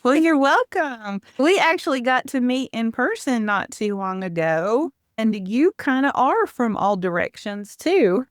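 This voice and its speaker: A woman's voice: on the high side, very animated, a little slow, hardly any pauses, no echo, clean studio sound. A woman hosting a podcast, chatting informally and teasing her guest in a self-deprecating way. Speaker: podcast host. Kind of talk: casual interview chat.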